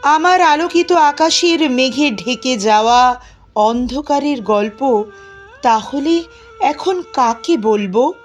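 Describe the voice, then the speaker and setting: Woman reading a poem real slow, highish voice, plaintive and emotional, long pauses. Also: animated. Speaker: poetry reciter. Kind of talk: expressive dramatic poetry recitation. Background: music.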